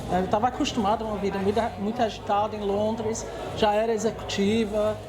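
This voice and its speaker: A woman's voice: mid-range voice, level tone, fast talker, music in the background. A woman in her sixties from England with an English accent, speaking Portuguese as a second language, answering interview questions with many hesitations and restarts. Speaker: guest executive.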